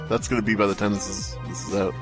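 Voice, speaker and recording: A man's voice: fairly low pitch, fairly even intonation, fairly quick, music in the background. A man hosting a comedy podcast, chatting casually in a rambling, joking way. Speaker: podcast host.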